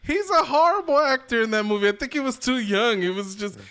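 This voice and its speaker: A man's voice: extremely high pitch, animated, quick pace, sharp tone, clear, resonant tone. A man hosting a podcast in casual, opinionated chat, emphatic and slangy, often punctuating points with a checking "okay?". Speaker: podcast host.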